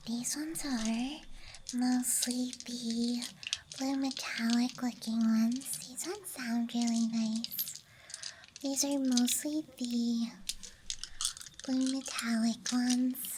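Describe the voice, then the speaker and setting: A woman softly whispering an ASMR show-and-tell in a hushed, unhurried murmur, with trailing phrases. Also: on the high side. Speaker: ASMR host. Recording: clear background noise, night ambience. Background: night campground ambience and clicking of handled objects.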